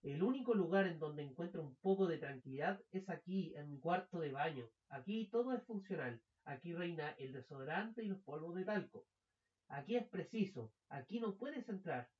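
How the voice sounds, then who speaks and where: A man's voice: a little high, animated, normal speed, slightly rough, dull audio. A young man, a student actor, playing a fussy, weary husband who grows sinister in an amateur radio drama. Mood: irritable. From Chile. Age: young adult.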